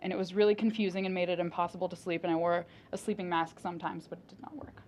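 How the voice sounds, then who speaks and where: A woman of about twenty, a native Russian speaker, giving a student presentation as lively storytelling with dry humor. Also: fairly low pitch, quick pace, slight background noise. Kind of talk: personal presentation.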